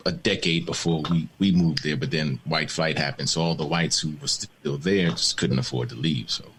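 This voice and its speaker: Man, very low voice, reflective storyteller, laughs easily, candid panel guest on a video call. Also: slight background noise. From born in the Bahamas, raised in Maryland. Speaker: guest, film and TV showrunner.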